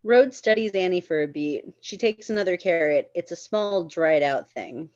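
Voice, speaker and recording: A woman's voice: lowish voice, animated, normal speed, a little mellow, dry recording, highs cut off. A woman reading stage directions as narrator at a screenplay table read.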